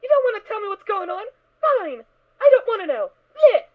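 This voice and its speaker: A woman's voice: extremely high pitch, big pitch swings, moderate pace. A woman voicing a naive, cheerful little filly pony in an audio drama, bubbly, childish and stubborn. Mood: puzzled, huffy. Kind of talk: fan audio drama acting. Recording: tinny, narrow phone-like audio.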